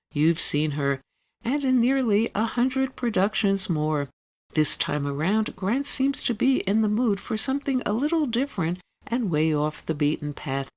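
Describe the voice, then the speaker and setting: A woman presents in a mid-pitched voice. She reads scripted radio narration deliberately, phrase by phrase, in a measured manner.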